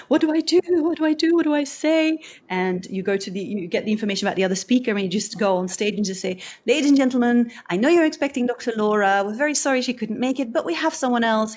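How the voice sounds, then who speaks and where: A woman's voice: a little high, highs cut off. A woman from Belgium, not a native English speaker, giving a conversational talk as an event host, acting out little quotes and restarting her sentences. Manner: animated, acts out quotes with restarts. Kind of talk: conversational presentation.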